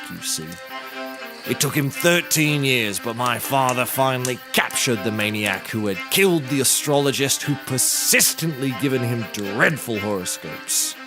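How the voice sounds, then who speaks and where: An actor as a pompous British gentleman detective. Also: medium pitch, natural voice. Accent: British English. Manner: formal, verbose, genteel. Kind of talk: scripted comedy acting, storytelling.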